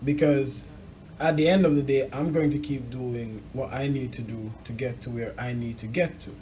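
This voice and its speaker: Probing male interviewer, mid-pitched voice. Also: steady tone, mellow, slight background noise, poor audio. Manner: measured, probing, builds points in short phrases. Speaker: interviewer, host. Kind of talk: conversational discussion.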